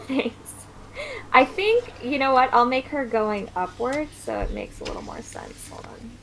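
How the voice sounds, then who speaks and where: A woman's voice: sits high, sing-song, measured pace, fairly bright tone, a little hiss or hum, rough recording. A woman in her twenties chatting casually on her stream while drawing, with plenty of fillers. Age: 20s. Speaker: streamer host.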